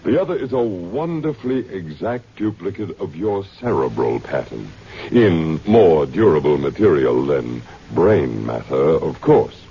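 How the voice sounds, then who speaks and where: An actor playing a suave, learned older scientist in a radio drama, formal, precise and smoothly condescending. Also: male voice, sits low, sing-song, a little slow, mellow, exaggerated voice. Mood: smug, didactic. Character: suave, learned old male scientist running a grand project. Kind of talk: old-time radio drama acting. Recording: muffled, noisy vintage radio recording.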